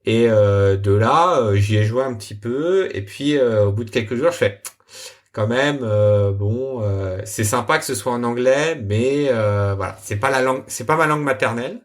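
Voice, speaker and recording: A man from France, a software developer, answering interview questions casually with a halting, relaxed manner and many fillers. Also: mid-range voice.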